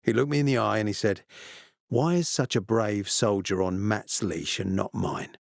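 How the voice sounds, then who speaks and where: A male actor playing a stern, ambitious military commander in a video game, speaking in a measured, authoritative and formal way. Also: fairly low pitch, vocal fry, no room sound, studio quality. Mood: reflective. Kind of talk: video game dialogue acting.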